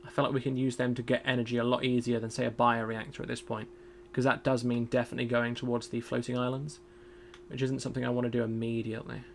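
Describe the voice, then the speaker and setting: A man streaming a game in casual commentary. He is chatty and self-deprecating, thinks aloud, and has a loud voice. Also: medium pitch, level tone, fast, creaky, slight background noise.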